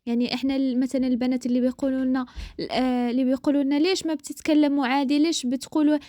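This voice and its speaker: A woman from the Maghreb chatting casually as a co-host, opinionated and quick to laugh. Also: high voice, little pitch movement, warm tone, dry recording. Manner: speaks in a levelled dialect mix, not her own. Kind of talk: casual chat.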